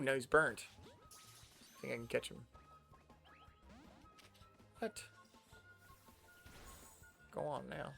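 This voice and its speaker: Gravelly young American man chatting. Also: mid-range voice, a bit bright. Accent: General American. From United States. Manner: rambling, chatty, talks to himself while playing. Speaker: streamer. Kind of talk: casual gameplay commentary.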